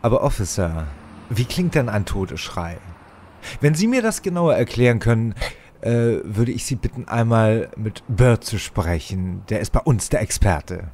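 An actor playing a nervous, evasive young radio playwright who stammers and hesitates, in a German audio drama. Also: male voice, lowish voice, big pitch swings, moderate pace, a little mellow, a little hiss or hum. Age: young adult.

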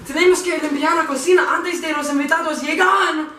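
Slow, high female voice in echoey room. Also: steady tone, pushed and bright. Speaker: student performer. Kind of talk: amateur scripted skit for a language class. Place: inside a family house. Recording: echoey room, distant mic, faint noise.

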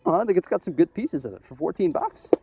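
A man's voice: sits high, sing-song, quick pace, creaky, dark tone, breathy edge, faint music behind. Young man vlogging in a store aisle. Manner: chatty, thinking aloud about prices. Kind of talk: casual shopping vlog. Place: large store aisle, indoors. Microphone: camera held at arm's length.

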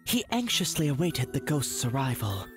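A narrator telling a comic dub's story in a calm storytelling manner. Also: medium pitch, moderate pace, music in the background.

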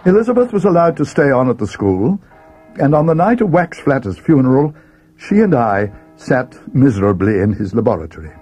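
Male narrator: schoolboy sidekick recounting a mystery, expressive storybook delivery, music underneath. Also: medium pitch, fairly quick, breathy edge, poor audio. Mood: measured, grave.

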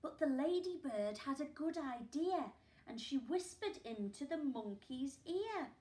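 A woman in her forties, a primary school teacher reading a picture book aloud at home, with gasps and hushed asides for young listeners. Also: high voice, lively intonation, unhurried, reverberant. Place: living room at home, indoors. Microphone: camera a few feet away.

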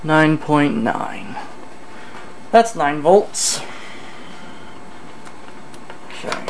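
A person thinking aloud in a halting mutter during a casual hands-on electronics demonstration at an indoor workbench. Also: mid-range voice, very animated. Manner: halting, muttering to self while working. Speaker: hobbyist host of an electronics repair video. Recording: noisy camcorder audio with room ambience.

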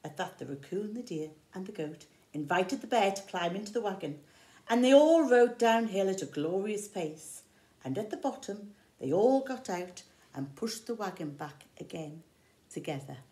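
Expressive middle-aged English woman reading aloud. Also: mid-range voice, moderate pace. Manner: expressive, explaining words simply. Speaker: infant school teacher. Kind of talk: reading a picture book aloud to young children. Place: indoor room with large windows. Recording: roomy echo.

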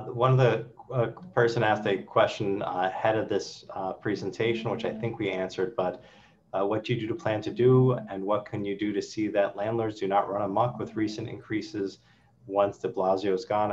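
A man hosts a virtual town hall from his office, reading out questions and answering them briskly. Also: sits low. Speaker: council member hosting a town hall. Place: office with city window, indoors. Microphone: video call. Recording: dull lo-fi video call audio with faint hum.

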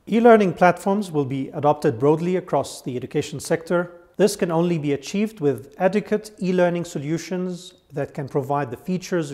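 Man giving a measured scripted presentation. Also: on the high side, a little mellow. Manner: measured, deliberate delivery, listing points one by one. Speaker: conference presenter. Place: studio with virtual sky backdrop.

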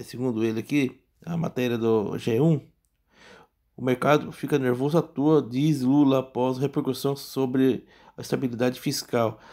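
A man with a Brazilian accent giving casual, off-the-cuff news commentary in a rambling, halting, repetitive way with frequent tag questions. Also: mid-range voice, vocal fry, darkish tone. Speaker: political commentary vlogger.

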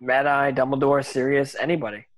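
Halting teenage boy wizard, read by a man. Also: medium pitch, fairly even intonation, lightly acted. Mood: puzzled, wistful. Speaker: table read actor. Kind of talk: scripted table read.

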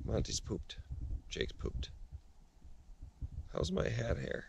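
Relaxed young man at a snowy campfire. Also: slow, noisy background. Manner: relaxed, chatty, talks to his dog. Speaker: outdoor vlogger. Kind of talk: casual camp vlog narration. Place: outdoors at a campfire in snow at night, then inside a snow shelter.

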